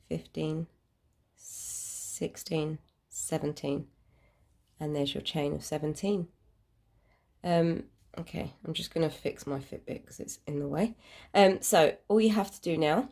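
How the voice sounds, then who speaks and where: A British woman with a slightly low voice, thick with a head cold, hosts an informal craft tutorial. She talks in a hesitant, rambling and self-deprecating way, explaining each step as she goes. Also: vocal fry, darkish tone. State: congested with a cold. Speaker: crafting channel host.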